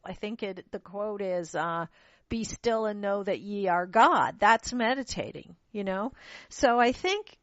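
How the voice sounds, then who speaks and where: A woman's voice: medium pitch, fairly bright tone, no room sound. A woman who grew up in London, hosting a radio interview in an enthusiastic, chatty way and often restarting her sentences. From born in Pakistan, grew up in London. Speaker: radio show host. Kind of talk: casual interview chat. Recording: dull lo-fi radio audio.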